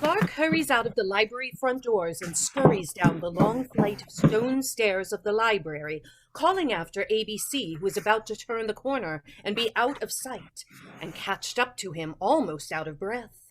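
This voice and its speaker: A woman's voice: medium pitch, expressive, normal speed, heavy background noise. A woman announcing and narrating a scripted old-time radio drama in a dramatic, theatrical manner. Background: prominent music.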